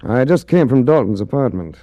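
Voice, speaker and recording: An actor playing a terse, controlled hard-boiled detective in a 1940s radio drama. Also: male voice, medium pitch, lively intonation, fairly quick, warm tone, no echo, natural voice. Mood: grave, probing. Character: cool, hard-boiled male detective hero. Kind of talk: 1940s radio detective drama acting with first-person narration.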